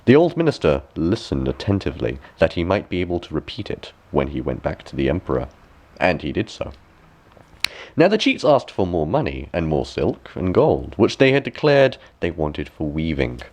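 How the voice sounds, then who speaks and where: A man reading a fairy tale aloud as an expressive storyteller, with measured, deliberate phrasing. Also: sits low.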